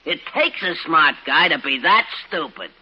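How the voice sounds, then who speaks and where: Piercing, wry radio wisecracker. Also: male voice, fairly high pitch, very animated, normal speed, pushed and bright, slightly rough, heavily acted. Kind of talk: 1950s radio drama acting. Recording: muffled vintage radio recording.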